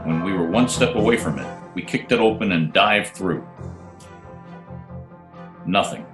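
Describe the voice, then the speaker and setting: Male actor as smooth wisecracking detective, low pitch, radio-play narration and dialogue, roomy home recording. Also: a little slow, music in the background. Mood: dry, wry narration. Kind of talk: old-time radio detective drama, first-person narration and dialogue. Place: home, remote video call recording.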